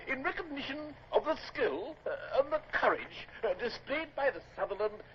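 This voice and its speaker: An actor playing a genteel, fussy British lord in a radio drama, halting and ceremonious as he speaks. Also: extremely high pitch, expressive. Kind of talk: vintage radio drama acting. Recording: dull, faintly noisy old radio recording.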